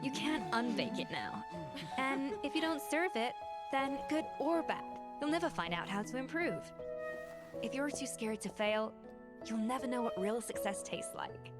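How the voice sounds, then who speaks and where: An actress voices a confident young woman, a master chef from a fantasy video game. Her voice sits on the higher side, and she talks with crisp poise and an adventurous streak. Music plays clearly behind her. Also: expressive, normal speed, natural voice. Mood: firm, encouraging.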